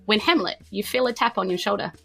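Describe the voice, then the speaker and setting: A woman's voice: mid-range voice, fast, creaky, bright, forceful tone, soft background music. A woman with a New Zealand accent narrating a tabletop fantasy game as game master.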